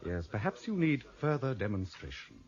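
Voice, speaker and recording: A man's voice: on the low side, sing-song, normal speed, darkish tone. An actor playing an exasperated, sardonic male university lecturer in a radio drama, theatrical and emphatic. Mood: impassioned, weary. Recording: dull, muffled old radio drama recording.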